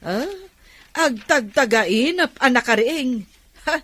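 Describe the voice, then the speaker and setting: A spiteful middle-aged aunt from a radio drama, acted by a woman. Her voice sits fairly high and swings widely as she taunts and scorns whoever she questions. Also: lively intonation, slow pace, a bit bright. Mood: mocking, scornful.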